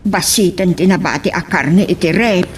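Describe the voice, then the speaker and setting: An actress playing a humble household servant with a Filipino accent in a radio drama. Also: female voice, fairly low pitch, normal speed, music in the background, natural voice. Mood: hesitant.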